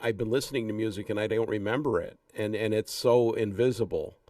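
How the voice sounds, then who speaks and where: A man with a lowish voice hosts a casual podcast. He sounds a little away from the microphone, with no room echo, and talks in an informal, loose, conversational way. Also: a little fast, a little mellow. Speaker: podcast host, film composer. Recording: dry but distant from mic.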